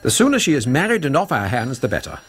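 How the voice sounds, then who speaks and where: A man's voice: mid-range voice, wildly swooping pitch, fast. A man playing a middle-aged, dismissive Victorian father in a radio drama, speaking formally with an upper-class English accent. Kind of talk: 1990s radio drama acting.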